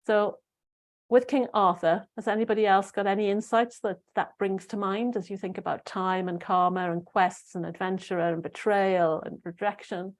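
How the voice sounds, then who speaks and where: A middle-aged woman hosting a spiritual talk show, musing and rambling with fillers and restarts, her voice slightly croaky. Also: mid-range voice, steady tone, moderate pace. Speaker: spiritual podcast host. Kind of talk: casual solo talk to listeners.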